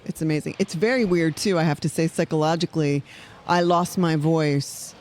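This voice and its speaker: A woman playwright chatting on a podcast in an animated, fast-paced way, with laughing asides. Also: low voice. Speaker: playwright guest. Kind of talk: casual chat.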